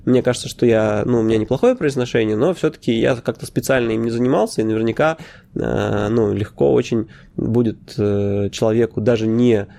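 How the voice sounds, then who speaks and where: A man's voice: medium pitch, a little fast, gravelly, dark tone. A man hosting a casual podcast monologue, talking in a conversational way with frequent filler sounds, self-corrections and wry, opinionated asides. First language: Russian. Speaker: podcast host.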